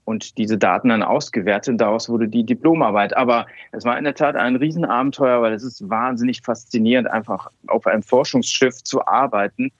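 A man's voice: mid-range voice, fairly quick, few pauses, no room sound, highs cut off. A man from Cologne, a scientist, telling stories in an interview with many fillers and restarts. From Cologne, Germany. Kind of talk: relaxed conversational interview.

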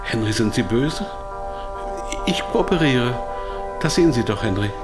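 A man's voice: mid-range voice, lively intonation, moderate pace, over music, lightly acted. An actor playing a self-satisfied, evasive male pharmaceutical executive held captive in a radio drama, with a pompous, lecturing manner. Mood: pleading.

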